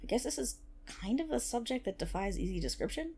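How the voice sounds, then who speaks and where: A woman's voice: fairly high pitch, big pitch swings, brisk, mellow, breathy edge, heavily acted. A woman playing a nerdy, playful best friend in an ASMR roleplay, rambling casually to the listener with wry asides and self-interruptions. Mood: enthusiastic storytelling.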